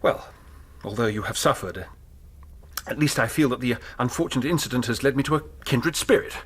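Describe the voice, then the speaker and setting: An actor playing an earnest, awkward young English student in a radio drama, polite and hesitant in manner. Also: male voice, medium pitch, brisk, a bit bright, breathy edge. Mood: shy, hesitant. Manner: hesitant, apologetic, polite, well-spoken.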